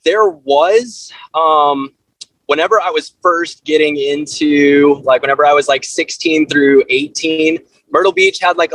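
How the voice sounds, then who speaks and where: A man from South Carolina chatting casually as an interview guest, in a loose, halting way full of filler words. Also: fairly high pitch, fairly bright tone, dry recording, rough recording. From South Carolina, USA. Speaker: interview guest, band frontman. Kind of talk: casual chat.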